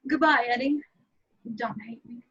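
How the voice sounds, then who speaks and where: A woman playing a sultry, pleading cartoon femme fatale in an amateur table read of a film script, with a breathy delivery. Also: fairly high pitch, fairly even intonation, measured pace, fairly bright tone. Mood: soft, wistful. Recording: dull, lo-fi home recording.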